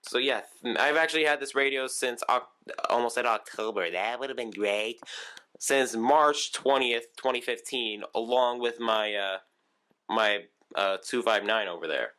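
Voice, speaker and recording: Bright-voiced young guy touring his radios. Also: medium pitch, unhurried. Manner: rambling, lots of ums and restarts. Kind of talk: casual video tour. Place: room at home with shelves of radios.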